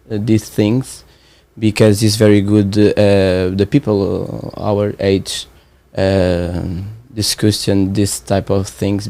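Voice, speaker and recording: A man in his twenties with a Portuguese accent, speaking English as a second language in a casual conversation, halting and searching for words with many fillers. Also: fairly low pitch, warm tone, dry recording. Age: 20s. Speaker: training participant.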